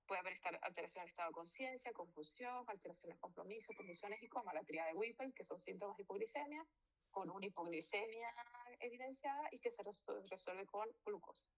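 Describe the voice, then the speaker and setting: A woman's voice: medium pitch, lively intonation, fast, muffled recording. A woman doctor from Venezuela giving a medical lecture in a loose, informal way, with fillers and self-corrections. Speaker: physician lecturer.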